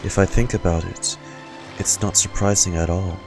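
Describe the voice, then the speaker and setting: A man's voice: deep voice, fairly even intonation, moderate pace. A man streamer reacting casually to a game and reading its dialogue aloud over game music. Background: loud game music.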